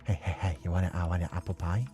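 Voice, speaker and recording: A let's play host giving animated, slangy game commentary with casual swearing. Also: low voice, racing, over loud music.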